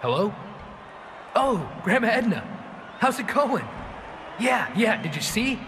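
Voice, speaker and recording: An actor playing a goofy, slangy pro wrestler buddy in a video game. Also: male voice, high voice, very animated, slow pace, heavily acted. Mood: goofy, upbeat. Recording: noisy arena ambience behind voice.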